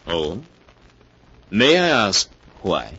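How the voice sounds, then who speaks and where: A man's voice: low-pitched, huge pitch swings, drawn-out words, sharp tone, husky, exaggerated voice. An actor in a 1940s radio drama playing a smug, seductive womanizer, with a suave, mocking and theatrical delivery. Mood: amused, mocking. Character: smug, seductive male scoundrel and heartless womanizer. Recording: muffled vintage 1940s radio recording.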